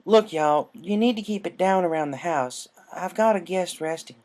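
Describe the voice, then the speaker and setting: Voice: medium pitch, moderate pace, darkish tone, pitched above the performer's own voice, lightly acted. A performer voicing an adult female farm pony, stern but caring, with folksy country phrasing, in a cartoon fan story.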